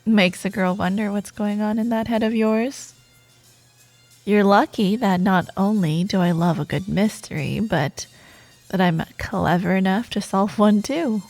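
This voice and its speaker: A woman playing a proud, teasing yet wistful rival coworker in an intimate ASMR romance roleplay monologue, with playful banter, sighs and soft pauses. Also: medium pitch, soft background music. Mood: teasing, softening. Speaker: audio roleplay performer.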